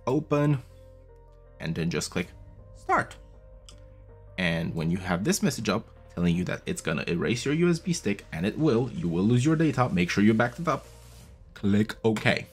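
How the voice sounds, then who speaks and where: Deep-voiced man explaining a tech fix. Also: very animated, moderate pace, long pauses, breathy edge, faint music behind. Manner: chatty, patient step-by-step explaining. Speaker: tech video host. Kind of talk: casual tutorial walkthrough. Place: small room at a desk. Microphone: studio mic on a boom arm, wearing headphones.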